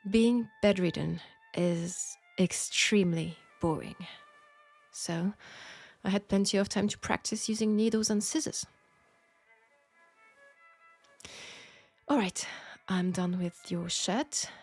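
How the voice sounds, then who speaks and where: A woman with a French accent playing a proud, wry young Parisian seamstress in a scripted audio roleplay, speaking in a measured, pointed way. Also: mid-range voice, a little mellow, soft background music. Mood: resigned, wry. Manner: measured, pointed, sardonic, with sighs.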